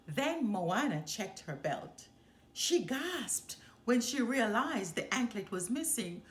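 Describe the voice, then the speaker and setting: A woman reading a children's storybook aloud as a storytime host, with expressive, deliberate delivery in a roomy recording. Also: a little high. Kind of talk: scripted storybook narration.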